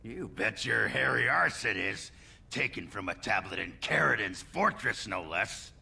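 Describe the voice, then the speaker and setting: A man playing a crude, gruff dwarf warrior with a harsh gravelly rasp in a fantasy video game. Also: lowish voice, animated, unhurried, pushed and bright, exaggerated voice. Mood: boastful.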